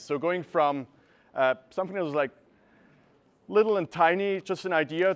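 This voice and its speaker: Guy in his twenties talking to a small crowd, bit higher voice, picks words carefully, camera across the room. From Switzerland. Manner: earnest, searching for words with frequent uhs. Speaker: speaker. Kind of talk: presentation talk. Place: gallery room with a seated audience.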